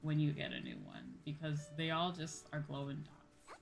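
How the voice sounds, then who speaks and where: A woman's voice: low-pitched, wildly swooping pitch, normal speed, creaky, soft background music. A woman livestreamer chatting casually while gaming, blunt and swearing freely, repeating her points for emphasis. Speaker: livestream host, VTuber.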